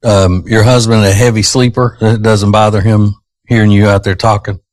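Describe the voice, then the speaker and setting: A man's voice: on the low side, little pitch movement, normal speed, a little mellow, dry recording. Chatty man with a strained voice. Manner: chatty, teasing, rambling. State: slightly strained voice. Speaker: radio show host. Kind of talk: casual morning radio banter. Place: radio studio. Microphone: studio microphone.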